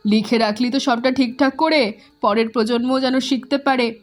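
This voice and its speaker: An actress voicing a hospitable, warm-hearted village mother in a cartoon, speaking in a welcoming, earnest way. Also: a little high, moderate pace, soft background music, close to an everyday voice. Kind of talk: cartoon voice acting.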